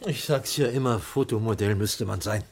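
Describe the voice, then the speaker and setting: A man's voice: on the low side, moderate pace. An actor playing a wry, bantering former policeman turned private investigator in a 1980s German radio crime drama. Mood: wry, probing. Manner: jocular, sardonic.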